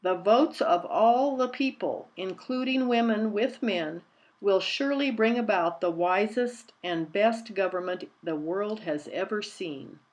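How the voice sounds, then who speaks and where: Determined old campaigning lady, played by a woman, storybook style, measured and earnest. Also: mid-range voice, darkish tone, muffled recording, close to an everyday voice. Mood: rousing speech. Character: dignified, determined elderly woman campaigner for equal rights.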